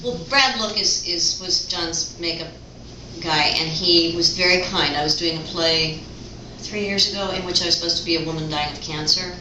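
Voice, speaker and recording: Woman, middle-aged, actress, chatty, rambling, somewhat low voice, distant mic. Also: normal speed, sharp tone. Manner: chatty, rambling, frequent restarts. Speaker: actress guest. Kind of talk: casual conversation, telling stories. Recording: echoey room from far off with noise.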